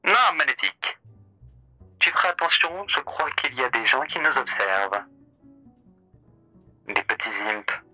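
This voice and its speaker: A man's voice: medium pitch, lively intonation, a little slow, long pauses, pushed and bright, dry recording, soft background music. A man voicing a suave, theatrical male radio demon who talks in a courteous, mocking way during a voice-chat roleplay. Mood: sly.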